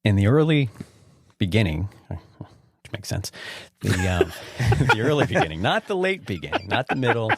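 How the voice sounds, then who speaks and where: A man's voice: lowish voice, very animated, normal speed, breathy edge, no echo, studio quality. A man co-hosting a casual podcast interview, friendly and a little rambling. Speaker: podcast co-host.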